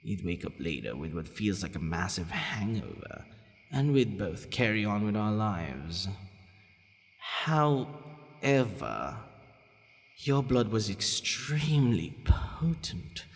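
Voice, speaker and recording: A man playing a centuries-old, courteous but possessive vampire in an ASMR roleplay, deliberate and hesitant, sometimes murmuring almost to a whisper. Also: fairly low pitch, very animated, vocal fry, heavily acted. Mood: grave, intimate. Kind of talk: ASMR roleplay addressed to the listener. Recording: long reverberant hall echo.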